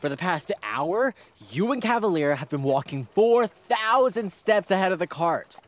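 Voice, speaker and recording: A man's voice: high voice, animated, moderate pace. A man voicing a whiny, aggrieved little fantasy creature in a comedic audio drama. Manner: aggrieved, complaining. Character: whiny, grumbling handless companion creature named Sprout, riding a cart.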